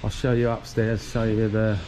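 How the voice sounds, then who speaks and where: A man with a fairly low voice narrates a casual walking tour, pointing things out in a relaxed, chatty way as he strolls. He records outdoors on a handheld camera. Clear street noise and passing traffic sit behind him. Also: normal speed, low-quality recording. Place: outdoor shopping plaza in sunshine.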